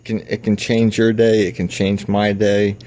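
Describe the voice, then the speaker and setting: Slow, soft-spoken man with a low voice. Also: level tone, a little hiss or hum. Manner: halting, with many restarts and fillers; earnest and confessional. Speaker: personal audio diarist. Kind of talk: reflective personal monologue.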